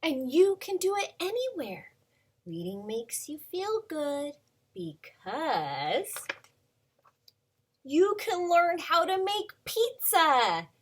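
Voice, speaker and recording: A woman in her thirties, a preschool teacher, reading a picture book aloud to children in a gentle, sing-song way with many ums. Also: sits high, huge pitch swings, takes it slowly.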